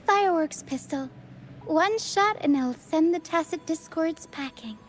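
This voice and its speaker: A young female guide from a video game troupe, high in pitch. She is earnest and soft-spoken, with a playful stage flair, over background music. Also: animated, measured pace. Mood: playful, showy. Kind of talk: video game dialogue acting.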